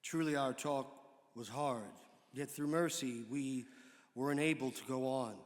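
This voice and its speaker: A man giving a measured, formal dramatic reading of an old historical text. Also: mid-range voice, fairly even intonation. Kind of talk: dramatic reading of an 18th-century pamphlet. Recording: reverberant hall.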